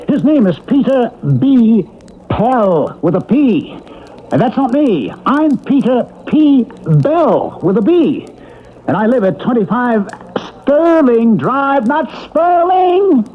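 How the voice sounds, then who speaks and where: An indignant, stubborn suburban husband in an old radio drama. His high voice swings widely as he protests and argues with emphasis. The sound is muffled and dull, like a vintage broadcast. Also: big pitch swings, slow, full character voice. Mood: insistent.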